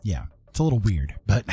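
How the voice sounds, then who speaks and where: A man hosting a casual art livestream, thinking aloud in an unhurried, tentative way and often checking in with listeners. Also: on the low side, big pitch swings, vocal fry, warm tone, breathy edge, soft background music. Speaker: livestream host, digital artist.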